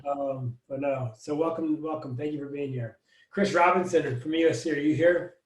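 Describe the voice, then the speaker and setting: A man's voice: on the high side, normal speed. Upbeat man on an echoey video call. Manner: upbeat, jokey, friendly banter. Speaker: residency program director. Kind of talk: casual video-call welcome.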